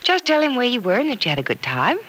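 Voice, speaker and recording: A woman's voice: fairly high pitch, wildly swooping pitch, fast talker, a bit bright. An actress playing a cheerful, reassuring young woman in an old radio soap, breezy and confident, on a noisy vintage recording. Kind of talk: mid-century radio soap acting.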